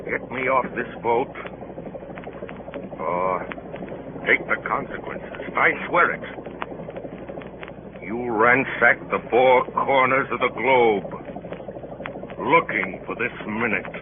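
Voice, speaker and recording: An actor playing a huge, pompous rogue agitator posing as a missionary, theatrical and oratorical, in an old-time radio drama. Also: male voice, mid-range voice, slow pace, pauses often, lightly acted. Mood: urgent, menacing. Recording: scratchy, noisy, tinny old radio broadcast.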